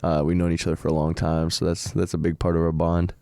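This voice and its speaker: Really deep mellow young guy, flat laid back delivery, dry studio mic. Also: quick pace, smooth, no room sound. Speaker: musician guest. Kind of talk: casual podcast chat. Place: podcast studio.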